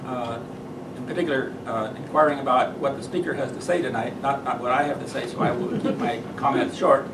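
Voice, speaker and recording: A man introducing a guest speaker at an event, halting with many fillers. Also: mid-range voice, fairly even intonation. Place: meeting room with audience. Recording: roomy, distant mic, background noise.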